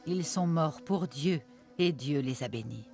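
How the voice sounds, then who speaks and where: An actress playing a sorrowful, devout woman remembering the dead, in scripted storytelling for an animated film. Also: low voice, measured pace. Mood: mournful. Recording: music under the voice.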